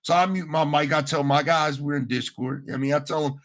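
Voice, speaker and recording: A man's voice: on the high side, fairly quick. A man telling gaming stories on a casual podcast in slangy, profane talk, acting out the exchanges as he goes. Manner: slangy, profane, animated retelling with acted-out quotes. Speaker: podcast host.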